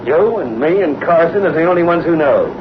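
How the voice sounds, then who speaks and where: An actor playing a tough, greedy truck driver crook with slangy speech in an old-time radio drama. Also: male voice, on the high side, expressive, brisk, close to an everyday voice. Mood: cocky, scheming. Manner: slangy, ungrammatical tough-guy talk. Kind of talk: 1930s-40s radio crime drama acting. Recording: muffled, noisy old radio recording.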